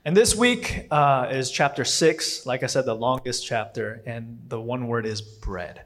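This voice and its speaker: Man, non-native English speaker, casual preacher, mid-pitched voice, halting self-corrections. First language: not English. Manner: rambling, self-correcting, with frequent fillers and restarts. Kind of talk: casual conversational sermon.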